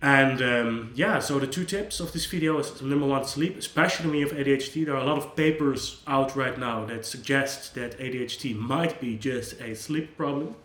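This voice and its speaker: A man's voice: mid-range voice, moderate pace, hardly any pauses. Earnest Dutch man in an echoey room. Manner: earnest, gentle, conversational with restarts. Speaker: psychologist, channel host. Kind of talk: unscripted talk to camera.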